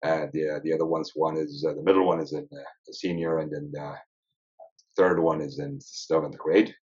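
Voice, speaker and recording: A man in his fifties with an extremely deep, low voice. He speaks slowly and deliberately, pausing often to find his words. The sound is dull and lo-fi. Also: fairly even intonation, a little mellow. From Ethiopia. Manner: measured, deliberate, often pausing mid-sentence. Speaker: sleep medicine physician guest. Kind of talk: interview answers. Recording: dull lo-fi recording.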